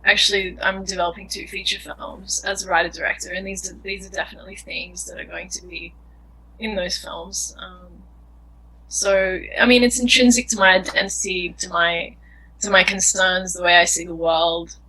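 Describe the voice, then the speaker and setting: A woman's voice: on the low side, steady tone, moderate pace, pushed and bright, a little hiss or hum, low-quality recording. A young woman filmmaker answering interview questions in an earnest, thoughtful way, often searching for words. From Australia.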